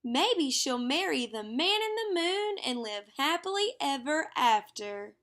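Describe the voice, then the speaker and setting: A woman voicing an eager, imaginative little girl from a children's storybook, gushing with excitement. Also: high-pitched, a little slow, pitched above the performer's own voice, full character voice. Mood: excited, reassuring. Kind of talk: children's storybook character reading.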